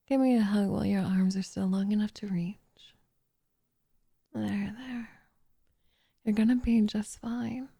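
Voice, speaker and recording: A woman's voice: mid-range voice, dark tone. A woman voicing a doting, possessive witch in an intimate ASMR roleplay, cooing and teasing with smug condescension, often slipping into a whisper close to the microphone. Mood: tender, reassuring. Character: doting, possessive young witch turning captive into pet cat.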